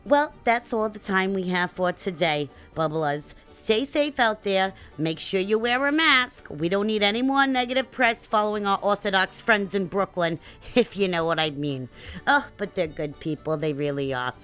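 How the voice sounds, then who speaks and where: A woman's voice: mid-range voice, lively intonation, normal speed, a bit bright, clean tone, faint music behind, natural voice. Gossipy New mother, played by a woman. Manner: kvetching, name-dropping, tangents. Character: chatty older woman, doting mother, gossipy. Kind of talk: comedic sketch monologue.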